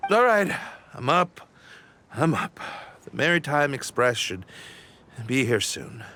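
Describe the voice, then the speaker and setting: A man's voice: medium pitch, big pitch swings, slow pace, pushed and bright, exaggerated voice. A man voicing a sleepy, sheepish young origami animal in a game, drawling and reluctant.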